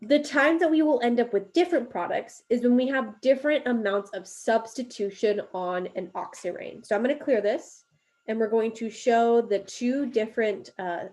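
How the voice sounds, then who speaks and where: Woman walking through chemistry on a whiteboard, kinda tired voice, slightly high. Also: darkish tone. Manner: explanatory, talks while drawing, fillers. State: losing her voice a little. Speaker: chemistry teacher. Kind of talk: recorded whiteboard lecture.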